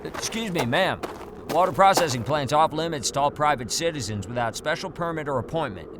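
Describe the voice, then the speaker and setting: An actor playing a timid, polite security guard who hesitates and stammers, in a noir audio drama. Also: male voice, lively intonation, a little fast, background noise. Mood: nervous, officious. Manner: hesitant, stammering, higher-pitched.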